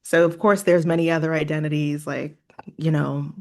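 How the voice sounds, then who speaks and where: A woman's voice: sits low, level tone, moderate pace, darkish tone. A woman from Haiti, a guest on a podcast, speaking in an earnest, reflective way and laughing easily. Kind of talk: reflective conversation.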